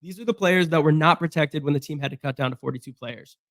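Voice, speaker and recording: A hoarse man with a fairly high voice talks through a football roster on his own, rambling with fillers and restarts. The sound is dry and close, with no room around him. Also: quick pace, a bit bright, no room sound. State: hoarse from being sick. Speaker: sports podcast host. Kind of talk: casual solo sports breakdown.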